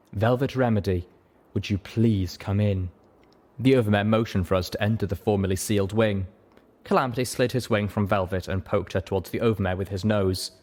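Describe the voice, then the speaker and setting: A man's voice: lowish voice, a little mellow. A man reading fiction aloud as the narrator of a reading show, in a dramatic scripted style.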